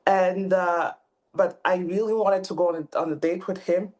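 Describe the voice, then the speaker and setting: Lowish woman from cameroon, halting casual chat with lots of restarts. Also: fairly even intonation, a little mellow. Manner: hesitant, many fillers and restarts. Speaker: podcast guest. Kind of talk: casual interview talk.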